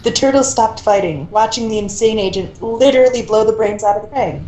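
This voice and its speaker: A woman's voice: a little high, brisk, warm tone. A woman reading a story aloud in an amateur style, with casual asides. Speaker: co-reader of fan fiction. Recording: echoey room with faint noise.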